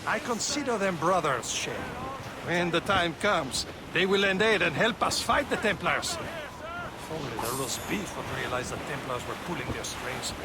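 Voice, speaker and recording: A man acts a pompous French swordsman from a game, brash and quick to scorn. His French-accented voice sits fairly high, over clearly noisy surroundings. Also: animated, close to an everyday voice. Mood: earnest. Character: haughty, insulting French male assassin swordsman. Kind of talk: video game dialogue acting.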